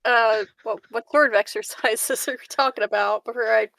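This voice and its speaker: Female tabletop player, fairly high voice, casual and hesitant, giggly, recorded dry. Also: steady tone, moderate pace, creaky, fairly bright tone, dry recording. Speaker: tabletop roleplaying game player. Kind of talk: casual in-character tabletop roleplay.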